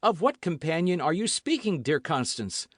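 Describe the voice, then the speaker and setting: A frantic young hero who cries out loudly and urgently, his voice pushed high, as a male reader acts him. The pitch leaps around, and the sound stays dry and close in a storybook reading. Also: very animated, moderate pace, no echo. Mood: alarmed. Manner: crying out, urgent. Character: young man, passionate and frantic, devoted lover.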